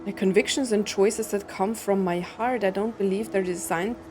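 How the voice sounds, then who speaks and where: A woman's voice: medium pitch, steady tone, moderate pace, over music. A woman streaming her reaction to a story game, musing aloud in puzzled questions and reading lines out.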